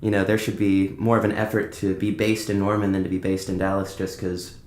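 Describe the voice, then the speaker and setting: A young American man, a musician guest, chatting casually and haltingly in an interview. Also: low-pitched, little pitch movement, a little mellow. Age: young adult. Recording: echoey, roomy sound.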